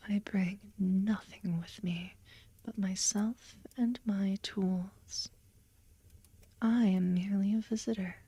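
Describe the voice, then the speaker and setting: Actress for creepy female therapist, ASMR hypnosis roleplay: mellow, breathy whisper, unhurried, calm and ominous control. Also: mid-range voice, no echo. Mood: soothing, guiding. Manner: slow, deliberate, soothing yet ominous.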